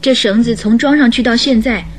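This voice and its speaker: Actress playing a high-voiced, earnest young lady. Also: brisk, lightly acted. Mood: hesitant, earnest. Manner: polite, careful, hesitant answers. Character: polite, earnest young lady, troubled client. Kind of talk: radio drama acting.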